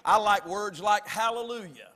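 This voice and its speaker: A Southern man from Alabama preaching in a church. He has a high voice, and the long reverb of the sanctuary hangs after his words. He swings from loud, emphatic lines to soft, quiet ones. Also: normal speed. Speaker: pastor. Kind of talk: sermon.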